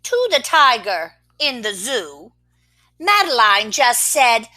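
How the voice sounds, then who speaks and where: Woman reading a picture book aloud, high voice, very slow, bright, lively pitch, expressive with whispered asides. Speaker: children's story reader.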